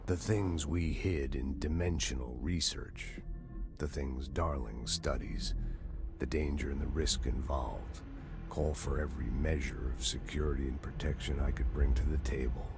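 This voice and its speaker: An actor playing a guarded, authoritative director in a video game, delivering a measured, grave and formal monologue. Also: male voice, extremely low pitch, full character voice. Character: guarded, authoritative male director brooding over his secrets.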